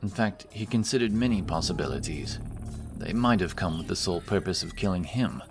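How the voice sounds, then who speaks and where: A man narrates a fantasy audiobook in a deep voice. His scripted delivery is dramatic storytelling, with music clearly playing behind him. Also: expressive, brisk.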